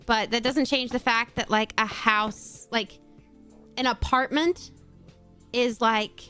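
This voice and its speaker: A woman's voice: on the high side, fairly even intonation, takes it slowly, bright, forceful tone, clear, resonant tone, soft background music. A woman from Australia with a mostly North American-sounding accent and a slight Australian edge on some words, chatting casually on stream and reading chat aloud. She laughs often and swears casually.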